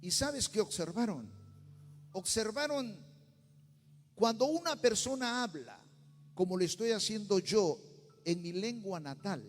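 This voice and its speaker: A man's voice: high-pitched, big-room sound. A male pastor with a Peruvian Spanish accent, preaching a sermon with rising intensity and bursts of speaking in tongues. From Peru. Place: church sanctuary.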